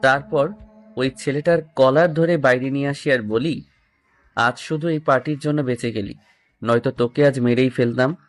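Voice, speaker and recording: Casting: young male narrator, mid-pitched voice, first-person romantic audio story with acted dialogue. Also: steady tone, moderate pace.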